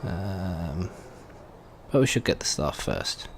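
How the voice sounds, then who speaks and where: A man's voice: deep voice, sing-song, slow pace, slight background noise. A man giving casual let's play commentary, thinking aloud in a hesitant way as he goes. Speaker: gaming video host.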